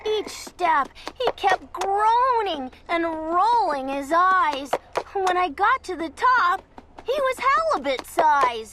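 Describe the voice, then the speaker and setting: Lady doing a kids book read aloud, super high voice, unhurried n theatrical, noisy recording. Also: very animated. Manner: theatrical, dramatic delivery for children. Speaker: storyteller reading aloud. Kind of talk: expressive children's picture book read-aloud.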